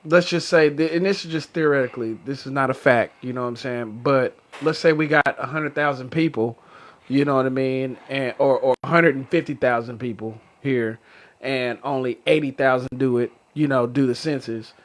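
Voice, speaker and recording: A man with an American accent and an average-pitched voice talks in loose podcast style. He is insistent and slangy, circling back over his phrases to drive the point home. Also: moderate pace. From Oklahoma, United States. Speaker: podcast co-host. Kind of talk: casual unfiltered chat.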